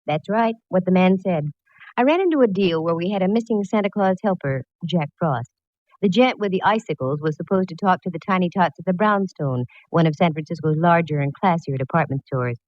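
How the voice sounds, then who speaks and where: A woman's voice: fairly low pitch, expressive, fairly quick, a little mellow, no room sound, lightly acted. An actress playing a breezy, wisecracking female private eye in 1940s radio style. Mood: wry narration. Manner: wry, flip. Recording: muffled, faintly hissy old radio recording.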